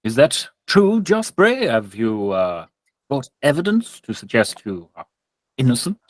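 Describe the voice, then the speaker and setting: A man's voice: mid-range voice, sing-song, a little slow, no room sound. A man acts out a pompous church father for a tabletop game. He speaks in formal, stately phrases and takes offence easily, in a close, dry recording. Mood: probing, formal. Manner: formal, stately, indignant when challenged.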